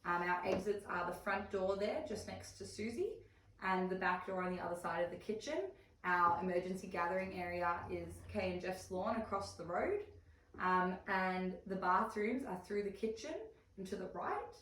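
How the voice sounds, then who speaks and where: A woman instructs a small group in an encouraging, affirming manner. Her voice sits at a medium pitch and is picked up at some distance in an echoey room, with faint noise around it. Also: moderate pace, a bit bright. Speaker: first aid trainer.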